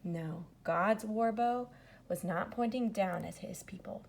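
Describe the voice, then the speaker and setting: Female storybook reader for young listeners: slow, expressive, dramatic, slightly creaky voice. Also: medium pitch, a little hiss or hum. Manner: dramatic, with hushed emphasis. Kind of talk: expressive children's story read-aloud.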